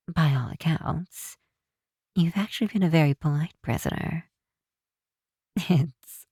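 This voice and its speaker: Woman acting a low, breathy supervillainess. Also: dry recording, clean studio sound, full character voice. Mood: soothing, amused. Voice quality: breathy, hushed. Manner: unhurried, teasing, theatrical. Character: possessive female supervillain, softly menacing, tender toward captive. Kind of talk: intimate ASMR roleplay acting.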